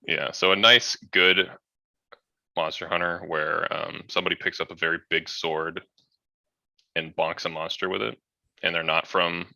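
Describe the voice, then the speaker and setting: Male podcast panelist, low-pitched with a very sharp bright edge, loose casual slangy talk. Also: flat delivery, normal speed, vocal fry, no echo. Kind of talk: casual chat about games and films.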